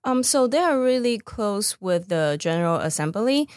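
A woman's voice: medium pitch, lively intonation, moderate pace, darkish tone, dry recording, clean studio sound. A woman from Taiwan with a Taiwanese accent, answering interview questions conversationally as a startup founder. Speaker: startup founder, podcast guest.

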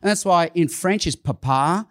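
Middle-aged pastor, Cantonese first language, high voice, animated sermon storyteller. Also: male voice, moderate pace. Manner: animated, acts out dialogue with rising emphasis.

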